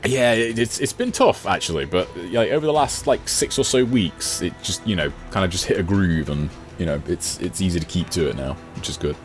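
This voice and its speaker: Deep-voiced man chatting casually. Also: animated, brisk, few pauses, faint music behind. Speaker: podcast guest, gaming streamer. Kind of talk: casual conversational chat.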